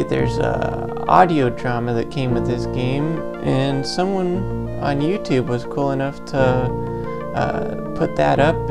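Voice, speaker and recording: A man gives casual, hesitant game commentary in a mid-pitched, very gravelly voice. His explanations are low-key, with game music clearly playing behind him. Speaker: let's play host. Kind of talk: casual game commentary, reading on-screen text aloud.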